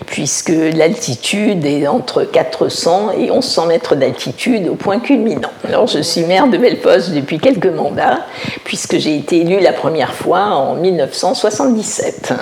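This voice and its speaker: An older woman from Alsace, a village mayor, answering interview questions in a measured, explanatory way, often pausing to find her words. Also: fairly low pitch, lively intonation, a little mellow, a little hiss or hum. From Alsace, France. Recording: roomy echo.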